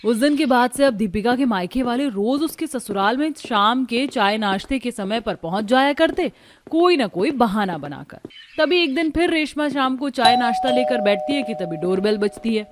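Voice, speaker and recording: A woman's voice: fairly high pitch, lively intonation, moderate pace, a little hiss or hum. A woman narrator reading a scripted storybook tale for a cartoon.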